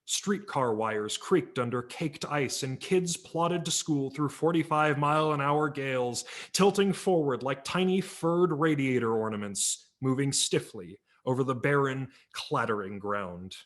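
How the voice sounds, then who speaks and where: A man narrating a humorous memoir story as a dramatic reading, wry and theatrical, letting his voice drop low at the ends of phrases. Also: expressive, measured pace, few pauses. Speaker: audiobook narrator. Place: home, virtual reading.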